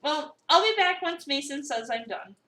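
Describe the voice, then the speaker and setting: A woman reading a visual novel as an eager, awkward new cafe employee, chatty, expressive and self-deprecating. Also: high-pitched, moderate pace. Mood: wry, curious. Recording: roomy, a bit far from the mic.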